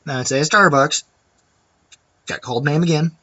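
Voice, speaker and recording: Bright-voiced man ranting to camera. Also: mid-range voice, animated, normal speed, dry recording, muffled recording. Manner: chatty, rambling, restarts. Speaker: vlogger. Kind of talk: casual ranting monologue to camera.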